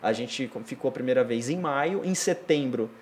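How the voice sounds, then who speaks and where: A man's voice: mid-range voice, lively intonation, moderate pace, a little hiss or hum. A man from Brazil, co-hosting a casual chat and explaining things with a light, teasing tone while giving advice. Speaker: co-host.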